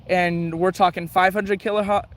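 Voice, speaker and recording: A man's voice: high voice, flat delivery, moderate pace. A man talking casually and chattily as a hobbyist host, thinking aloud in rambling commentary while he tunes a radio.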